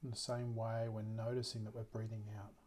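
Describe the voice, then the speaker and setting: A man leading a guided meditation as a teacher, speaking gently and unhurriedly with long pauses. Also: fairly low pitch, completely flat delivery, slight background noise.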